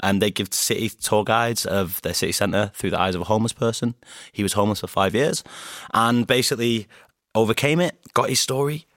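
Man, Bolton accent, lively storyteller, deep voice, dry sound. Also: a little fast, dry recording. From Bolton, England. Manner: animated storyteller, acts out his own quotes. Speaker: podcast guest. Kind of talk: casual interview chat.

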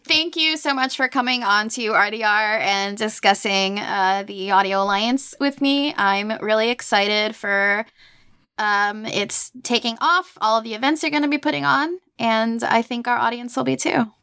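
A woman's voice: on the high side, normal speed, pushed and bright, clean tone, no echo, clean studio sound. A woman podcast interviewer chatting casually with a guest.

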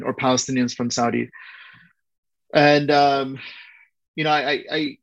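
A man's voice: medium pitch, no room sound, muffled recording. A man in his forties, raised in Southern California, who spoke Persian first, talking as a historian guest in a loose interview and thinking aloud with frequent restarts. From born in Iran, raised in Southern California. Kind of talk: casual interview talk.

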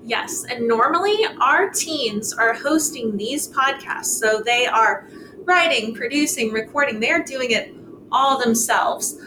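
A woman's voice: a little high, measured pace, noisy background. A woman who works as a wellness coordinator, talking in a supportive, casual way on a podcast.

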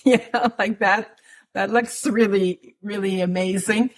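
Slow-talking, husky woman interviewer. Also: medium pitch, steady tone. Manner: warmly affirming, many short agreements. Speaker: interviewer, host. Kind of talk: conversational interview.

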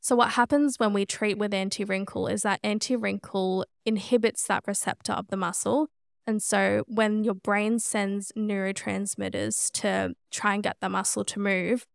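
A woman's voice: mid-range voice, flat delivery, normal speed, creaky, no room sound, studio quality. A woman co-hosting a podcast, casually explaining things in the manner of a practitioner. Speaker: podcast co-host and cosmetic injector.

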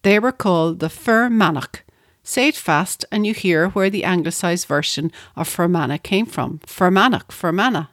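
A woman with a lowish voice reads a prepared history segment in a steady, measured way. The sound is dry and close, with no room echo. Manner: measured, reading from a script. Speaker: podcast co-host. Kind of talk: scripted narration.

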